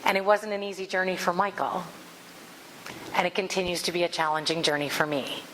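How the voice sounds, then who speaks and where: A woman giving a heartfelt spiritual talk to a congregation as its minister, speaking with earnest warmth and reading passages aloud. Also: fairly low pitch, little pitch movement, a little fast, in a large hall, a little hiss or hum, low-quality recording.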